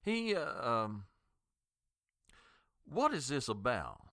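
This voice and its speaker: An actor playing a flustered, formal older doctor in an audio drama, hesitant and stammering. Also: male voice, mid-range voice, wildly swooping pitch, slow, fairly bright tone, no echo, clean studio sound, heavily acted. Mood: nervous.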